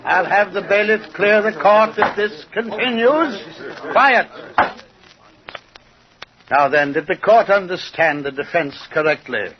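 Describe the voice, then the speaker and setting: A man's voice: sits high, lively intonation, a little slow, pauses often. An actor playing a stern, imperious male judge in an old-time radio drama, authoritative and declamatory. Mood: stern, commanding. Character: stern, imperious courtroom judge calling for order. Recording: muffled, noisy old radio recording.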